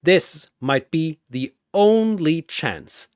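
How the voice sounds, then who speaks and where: Young underdog brawler read by a man: wary and terse, muttered, measured pace, action story. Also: a little high, lively intonation, clean tone, no room sound, clean studio sound, pitched above the performer's own voice.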